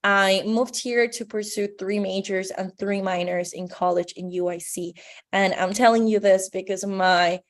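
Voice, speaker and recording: A woman's voice: mid-range voice, fairly even intonation, measured pace. A young woman from Colombia giving earnest, pleading public testimony at a hearing. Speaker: public commenter.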